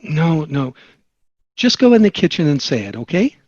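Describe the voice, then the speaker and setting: A man playing a practical, coaxing suburban sitcom father at a table read, husky and animated, over a dull online-call sound. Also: on the high side, normal speed, no room sound. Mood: firm, instructing.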